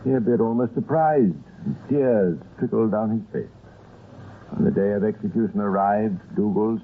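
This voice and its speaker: A man giving scripted narration for an old-time radio crime story in a measured storytelling manner. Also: on the low side, phone-quality sound. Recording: thin, noisy old radio recording.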